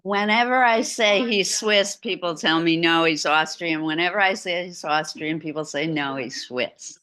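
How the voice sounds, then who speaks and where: A woman's voice: lowish voice, moderate pace, pushed and bright, smooth. A middle-aged woman giving an art lecture over a video call from a room at home, with chatty asides.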